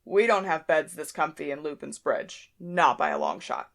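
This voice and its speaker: A woman's voice: low voice, expressive, normal speed, creaky. A woman voicing a brash, combative young fighter in a fan audio drama, who speaks in a blunt, cocky, teasing way.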